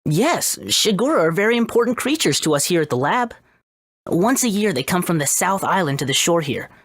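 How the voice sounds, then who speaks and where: A woman's voice: lowish voice, very animated, fairly quick, breathy edge, dry recording, clean studio sound. A woman voicing a brainy, self-assured boy who heads a research lab in a video game, matter-of-fact and bossy. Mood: explanatory. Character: confident brainy boy genius, head researcher.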